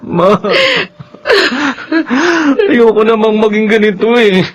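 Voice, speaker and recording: A man's voice: high voice, level tone, slow. A man acting a guilt-ridden grown son desperate for his parents' approval, pleading emotionally in a Filipino radio drama. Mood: tearful, frightened. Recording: dull radio audio, faint background noise.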